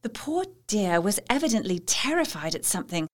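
A woman's voice: mid-range voice, very animated, fairly quick, close to an everyday voice. An actress playing a devoted, anxious young English wife, narrating her diary earnestly in an audio drama. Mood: uneasy.

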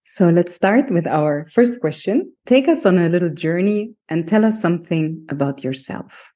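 A woman's voice: on the low side, animated, moderate pace, dark tone. A woman hosting a podcast, presenting and putting questions to a guest. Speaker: podcast host. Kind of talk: scripted introduction and interview questions.

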